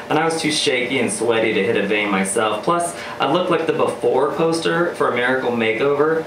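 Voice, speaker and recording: A man's voice: mid-range voice, a bit bright, slight background noise. A man performing scripted first-person spoken-word storytelling live, with a wry, sardonic, theatrical delivery. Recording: roomy live venue, distant mic, music. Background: electronic music beats.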